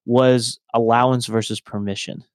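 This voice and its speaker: A man's voice: medium pitch, level tone, moderate pace, clear, resonant tone, dry recording, studio quality. Upbeat man introducing a podcast guest. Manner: upbeat, reads guest credentials in a list. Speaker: podcast co-host.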